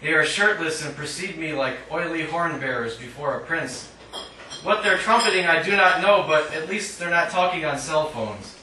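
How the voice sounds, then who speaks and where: Echoey, bright-voiced man reading poetry. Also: fairly high pitch, expressive, moderate pace. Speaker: poet reading own work. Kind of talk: live poetry reading with short spoken asides. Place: indoor literary cafe reading. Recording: echoey live room, distant mic, faint noise.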